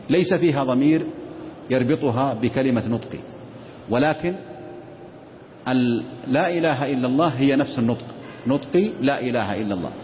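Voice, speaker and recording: Male grammar teacher in an echoing hall. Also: mid-range voice. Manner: patient, repeats examples, asks rhetorical questions. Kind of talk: explanatory grammar lesson. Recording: dull lo-fi recording in a reverberant hall with faint noise.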